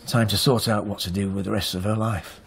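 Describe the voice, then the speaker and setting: Rueful low-voiced man. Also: little pitch movement, fairly quick, gravelly, fairly bright tone, slight background noise. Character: rueful working man whose wife left him. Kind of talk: naturalistic radio drama acting.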